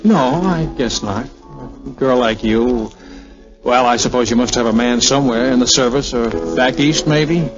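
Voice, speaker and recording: A man's voice: medium pitch, natural voice. Actor playing a wry 1940s American airman, muffled radio. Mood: bashful, wistful. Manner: halting, casual, slangy. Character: wry, guarded, lovestruck wartime American airman, a major. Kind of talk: 1940s radio drama acting. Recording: muffled old 1940s radio recording with music.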